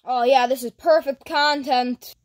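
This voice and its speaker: A child as a cheeky creature cameraman, high young voice. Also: steady tone, a little slow. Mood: scheming, pleased. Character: cheeky Grookey cameraman of a cartoon interview show, eager for drama.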